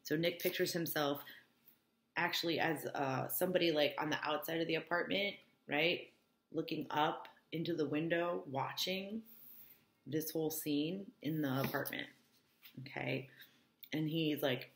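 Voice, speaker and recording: A woman's voice: sits low, a little slow. A woman teacher reading a novel aloud and explaining it informally, often checking that listeners follow. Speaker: English teacher.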